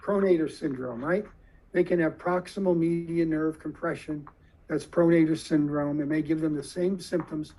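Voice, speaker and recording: A man with a fairly high, husky voice teaches a room of surgeons. He explains slowly and deliberately, often stopping to put questions to his listeners. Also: steady tone, dark tone, rough recording. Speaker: surgeon lecturer.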